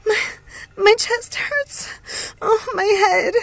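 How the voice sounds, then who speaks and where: A woman's voice: very high voice, steady tone, a little slow, creaky, bright, forceful tone, dry recording, voice pushed up, full character voice. A woman voicing a pregnant younger sister in agony and terror, panting and crying out through gritted teeth. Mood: agonised.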